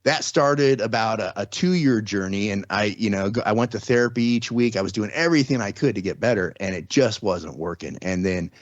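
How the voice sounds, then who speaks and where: A middle-aged man with a low voice tells his story in loose, rambling talk, restarting sentences and tossing in "right?" often. The sound is dry and a bit dull, like a lo-fi recording. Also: brisk, hardly any pauses, dry recording. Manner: rambling, many restarts and tag questions. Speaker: guest. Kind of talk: casual interview, storytelling.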